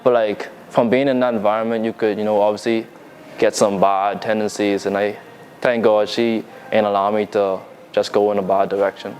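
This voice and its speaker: A young man from the Bahamas with a Bahamian accent, talking casually as a music artist being interviewed, relaxed and full of fillers and restarts. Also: lowish voice, moderate pace, a little hiss or hum. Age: young adult. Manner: laid-back, loose, lots of fillers and restarts. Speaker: musician guest.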